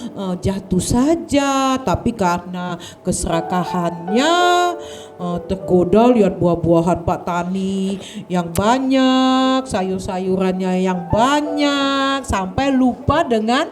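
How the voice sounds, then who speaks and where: A woman telling a children's story in a lively, sing-song way, often addressing the children as friends. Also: medium pitch, slow, over music.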